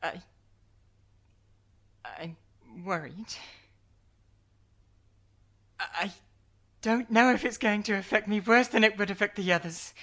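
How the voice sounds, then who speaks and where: A woman playing a frightened, stammering young character. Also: mid-range voice. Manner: halting, stammering, speaks softly. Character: small, sickly young person, anxious and fearful. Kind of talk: audio drama acting.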